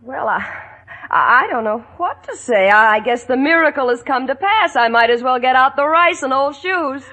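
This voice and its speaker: A woman's voice: high voice, animated, moderate pace, pushed and bright, full character voice. A woman playing a sensible, skeptical young best friend with a wry, exasperated, articulate delivery in a 1940s radio sitcom. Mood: resigned, kind. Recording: old 1940s radio broadcast.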